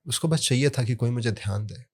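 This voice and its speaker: A man explaining sound therapy in a relaxed podcast conversation, telling stories from his work and leaning hard on key words. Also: medium pitch, a little fast, slightly rough. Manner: storytelling with anecdotes, emphatic rises on key words.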